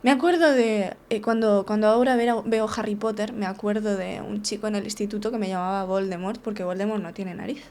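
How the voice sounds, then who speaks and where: A woman's voice: mid-range voice, fairly even intonation, fairly quick, few pauses, darkish tone, a little hiss or hum. Frank woman recounting her story. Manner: candid, frank, with many restarts and tag questions. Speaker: therapist, guest. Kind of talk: personal storytelling in an interview.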